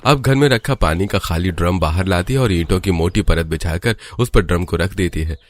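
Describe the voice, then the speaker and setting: A man's voice: low voice, normal speed. A man narrating a scripted cartoon storybook tale as the narrator.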